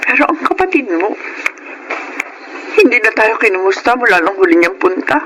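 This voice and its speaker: An anxious, well-meaning mother in a Filipino radio drama. She moralizes earnestly in an expressive middle-aged voice. Noise runs clearly through the recording. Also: fairly high pitch, moderate pace. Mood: worried. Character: worried, well-meaning mother of grown children.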